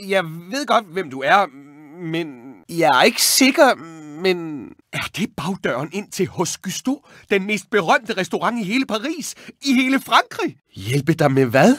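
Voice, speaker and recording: Game role: wry, excitable young rat and would-be cook, lightish high register with lively swings in pitch. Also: male voice, hardly any pauses, dry recording, clean studio sound, exaggerated voice. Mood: eager, determined. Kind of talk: animated video game voice acting.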